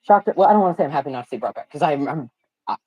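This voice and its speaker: A young man hosting a live watch party stream, talking excitedly with lots of slang and exclamations, his voice hoarse and cracking from a sore throat. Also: on the high side, very fast, dull audio. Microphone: phone.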